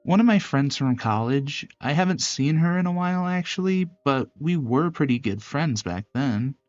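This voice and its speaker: A man's voice: on the high side, lively intonation, normal speed, no echo, muffled recording, soft background music, lightly acted. A man voicing a shy, sweet, apologetic young woman in a visual novel, bashful and rambling. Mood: pleased. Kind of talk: visual novel character voice acting.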